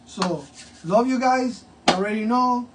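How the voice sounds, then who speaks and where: A man's voice: very high-pitched, measured pace. A talkative older man with a grandson, hosting a casual promo interview and hyping a fight. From Puerto Rico. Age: has a grandson. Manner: hyped, talkative, switches into Spanish. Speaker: host. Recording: distant mic with faint ambient noise.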